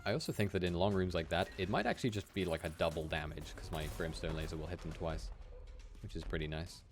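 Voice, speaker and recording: A man's voice: low-pitched, fast, a little hiss or hum. A young man from Wales with a general British accent, chatting casually through gameplay commentary and often laughing as he talks to his viewers. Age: young adult. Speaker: game streamer. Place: indoor room at home.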